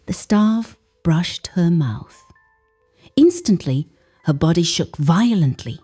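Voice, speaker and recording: A woman's voice: fairly low pitch, lively intonation, slow pace, mellow, slightly rough, faint music behind. A woman narrating a folktale in a dramatic, scripted storytelling style.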